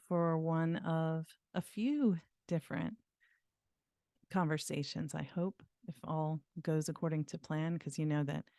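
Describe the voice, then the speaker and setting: A woman hosting a podcast, speaking gently and with emotion in a casual interview. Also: deep voice, dry recording, clean studio sound. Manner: gentle, emotional, tearful at times. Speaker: podcast host.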